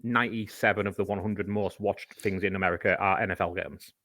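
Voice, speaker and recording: A man's voice: low voice, fairly even intonation, a little fast, pushed and bright, no echo. A man, a podcast guest, explaining a topic in casual chat, talking at length and giving examples.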